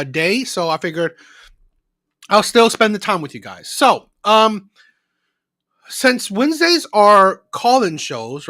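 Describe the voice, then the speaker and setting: A man's voice: sits high, fairly bright tone, no echo, clean studio sound. A man from the Philippines hosting a livestream, chatting casually and unscripted, rambling and repeating himself with frequent checking tags. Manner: rambling, repetitive, often adding "right?" as a tag. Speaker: livestream host of a call-in show. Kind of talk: casual unscripted chat to live viewers.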